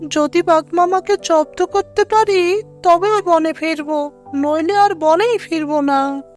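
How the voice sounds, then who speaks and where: A woman's voice: very high-pitched, slow, faint music behind. A woman voicing a sly trickster fox in an animal fable cartoon, with a sweet-talking, drawling delivery. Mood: resentful.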